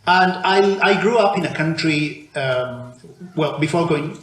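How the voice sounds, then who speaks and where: A man's voice: fairly high pitch, a little slow, fairly bright tone. A man from Argentina, preaching a conversational sermon in a church, often restarting his sentences. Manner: conversational, with frequent restarts and fillers. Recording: echoey church room with faint background noise.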